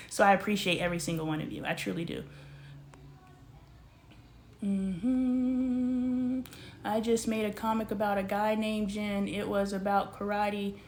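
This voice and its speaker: Animated woman chatting live to viewers. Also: medium pitch, measured pace, pauses often, slight background noise. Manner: animated, slangy, talks straight to the chat. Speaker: livestream host. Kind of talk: casual livestream chat with viewers.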